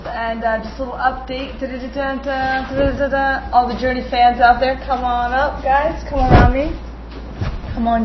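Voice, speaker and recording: A woman hosting a local TV show in a chatty, playful way, welcoming her guests. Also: on the high side. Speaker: TV host. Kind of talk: casual hosting. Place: small studio with green screen. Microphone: desk mic on stand. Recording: muffled studio audio with hiss.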